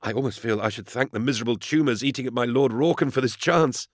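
A man's voice: mid-range voice, fast, no room sound, clean studio sound, pitched above the performer's own voice, natural voice. A man voicing an eager, earnest young inquisitor in an audiobook, with a gushing, deferential and hesitant manner. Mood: gushing, reverent. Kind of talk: audiobook character dialogue.

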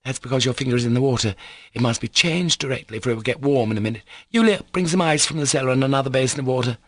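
A man reading an audiobook, voicing an imperious, excitable teenage girl who is bossy, urgent and exclamatory. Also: mid-range voice, rapid-fire, no echo, studio quality, voice pushed up, natural voice. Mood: bossy, scolding.

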